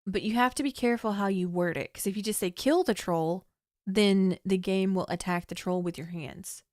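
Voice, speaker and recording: A young woman with a slightly hoarse voice streams a text adventure, reading room descriptions aloud in a measured, storytelling way between chatty asides. Her pitch sits mid-range for a woman, and the close mic sounds dry, with no room sound. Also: a little mellow, no room sound. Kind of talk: casual game commentary, reading game text aloud.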